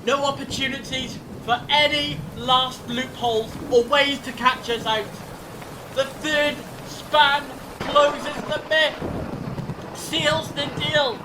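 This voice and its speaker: An actor playing a clever, grave time-travelling adventurer in a fan audio drama, explaining things in a measured, dramatic way. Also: steady tone. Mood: resolute. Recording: distant mic, rumbling storm-like noise.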